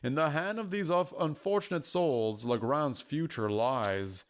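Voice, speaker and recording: A man voicing a patient, cryptic mystical guide in a story, with measured, formal, archaic phrasing. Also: on the high side, close to an everyday voice. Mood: solemn, patient. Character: Lazarus, solemn mystical male prophecy keeper, patient and cryptic.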